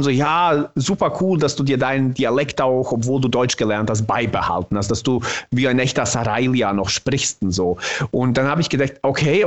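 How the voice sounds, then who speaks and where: A man with a Bosnian accent, native in Bosnian, talking thoughtfully about his own novel and pausing often to find the right words. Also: medium pitch. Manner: thoughtful, searching for words with restarts.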